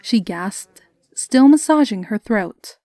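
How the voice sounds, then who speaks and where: High-voiced woman narrating a story. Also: expressive, slow, dark tone, dry recording.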